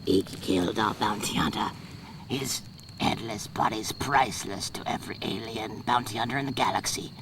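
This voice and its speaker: A man's voice: fairly low pitch, moderate pace, natural voice. A man playing a haughty alien bounty hunter in an amateur audio drama, pompous and declamatory. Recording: homemade tape with noisy background.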